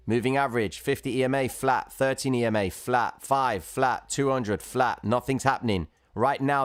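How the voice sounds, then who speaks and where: A man's voice: mid-range voice, dry recording. A man hosting a livestream, casually explaining chart trading and chatting with viewers who comment. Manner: chatty, reads viewer chat, calls viewers guys and bro.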